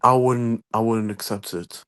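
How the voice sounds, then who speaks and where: A man's voice: mid-range voice, steady tone, unhurried, fairly bright tone, clear, resonant tone. A man co-hosting a casual football chat, firm and opinionated.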